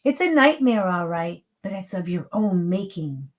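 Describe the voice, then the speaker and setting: A woman's voice: lowish voice, lively intonation, moderate pace, lightly acted. A woman voicing a mocking, scolding troll who torments a writer in a story reading. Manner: chiding, mocking.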